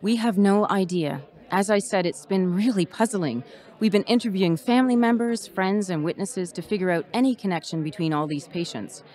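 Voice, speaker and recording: An actress playing a weary but dutiful emergency management officer giving a formal radio interview. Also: female voice, medium pitch, moderate pace, a little mellow, lightly acted. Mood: measured, official.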